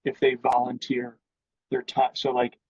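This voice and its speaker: A man's voice: medium pitch, monotone, a little slow, darkish tone, slightly rough, no echo, dull audio. A man speaking as an interview guest in sales, explaining his thinking in a casual business conversation.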